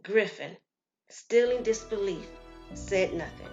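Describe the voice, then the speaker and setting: A woman reading a dramatic scripted story as narrator, solemn and deliberate. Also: medium pitch, a little mellow, lo-fi sound, faint music behind. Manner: solemn, deliberate, acts out dialogue. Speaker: storyteller and writer-performer.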